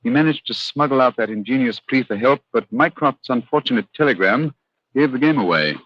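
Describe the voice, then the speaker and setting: An actor playing an incisive, commanding English gentleman detective with a British accent in a 1940s radio drama, clipped and theatrical. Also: medium pitch, animated, brisk, slightly rough, dry recording. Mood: deductive. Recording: dull, dry old radio recording.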